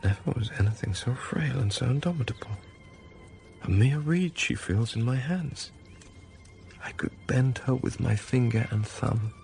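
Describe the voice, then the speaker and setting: An actor playing a brooding, passionate middle-aged master of a country estate in a period radio drama, gravelly and intense. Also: male voice, mid-range voice, unhurried, darkish tone, faint music behind. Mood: desperate, pleading. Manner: intense, confessional, pleading.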